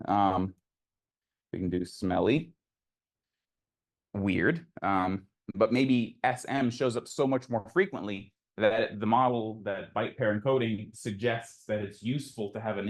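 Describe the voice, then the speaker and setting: A man giving an informal academic lecture, explaining in a conversational way and thinking aloud as he takes questions. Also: lowish voice. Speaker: lecturer presenting a technical talk.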